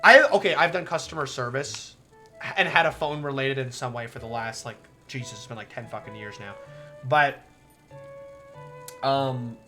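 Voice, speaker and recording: A woman streamer chatting casually while playing a visual novel, rambling and swearing, with a soft, relaxing voice. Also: very deep voice, moderate pace, pauses often, faint music behind. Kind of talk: casual livestream commentary over a visual novel.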